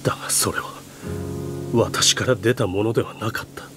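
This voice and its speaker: An actor playing a weary, guilt-ridden lord in a video game, speaking gravely and slowly, often sinking toward a whisper. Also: male voice, fairly low pitch, fairly even intonation, music in the background, natural voice. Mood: remorseful. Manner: slow, grave, half-whispered confession. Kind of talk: Japanese video game voice acting.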